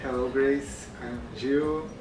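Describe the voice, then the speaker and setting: A man introducing himself slowly and haltingly. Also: mid-range voice, steady tone, background noise. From Brazil. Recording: roomy, distant mic. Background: guitar music.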